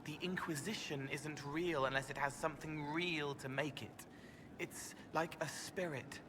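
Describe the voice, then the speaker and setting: Guy voicing an otherworldly gentle young game character, halting poetic musing, clear background noise. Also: fairly high pitch, fairly even intonation, close to an everyday voice. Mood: puzzled. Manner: dreamy, halting, speaking in poetic fragments. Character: gentle, otherworldly young man who senses others' feelings. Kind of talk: video game dialogue acting.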